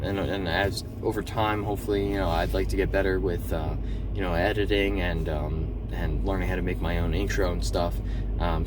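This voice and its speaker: A man talking casually with many ums and restarts, in a slightly monotone voice, recorded in a car. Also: deep voice. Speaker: eBay seller vlogger. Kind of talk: casual vlog talk. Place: in a car, driving around town. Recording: distant mic, loud car and street noise.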